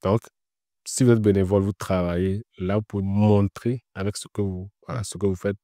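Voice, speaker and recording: A man's voice: lowish voice, fairly even intonation, a little fast, warm tone, dry recording, studio quality. A man being interviewed, giving casual career advice in an explanatory way, repeating his points with frequent filler words. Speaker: interview guest working in Web3.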